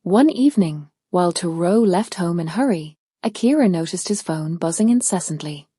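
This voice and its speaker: A woman's voice: fairly low pitch, lively intonation, moderate pace, mellow, breathy edge, no echo, clean studio sound. A woman narrating a scripted storybook tale as a storyteller.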